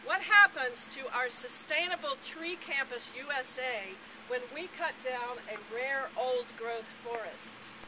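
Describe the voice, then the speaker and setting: A woman's voice: sits high, a little slow, hardly any pauses. An older woman reading a prepared speech at an outdoor rally in an earnest, persuasive way. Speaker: rally speaker. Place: outdoors on a campus lawn. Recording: clear outdoor ambient noise, traffic.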